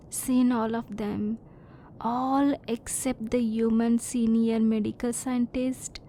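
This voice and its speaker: A softly mellow, fairly high-voiced alien woman, as a woman acts her out in an ASMR roleplay. She is a curious, reserved scientist who speaks slowly, with formal, precise wording. A low rumbling noise sits faintly behind her. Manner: formal, precise, measured, emotionally restrained.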